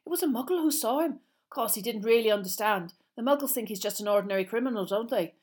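A woman voicing an excited, gossipy schoolboy in an audiobook, chattering eagerly and emphatically. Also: a little high, fast talker, voice pushed up, natural voice.

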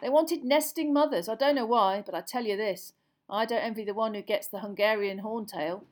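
A woman voicing a brisk, frank young male dragon keeper, emphatic and colloquial, in an audiobook. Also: medium pitch, a little mellow, pitched above the performer's own voice, natural voice. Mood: explaining. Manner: emphatic, matter-of-fact, colloquial. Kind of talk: audiobook character voice.

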